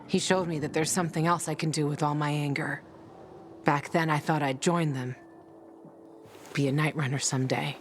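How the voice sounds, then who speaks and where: A woman's voice: low-pitched, fairly even intonation, moderate pace, long pauses, slight background noise, lightly acted. An actress playing a hard-bitten, sardonic young woman in a video game, blunt and guarded. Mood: bitter, downcast. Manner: blunt, dry, profane. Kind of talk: video game character acting.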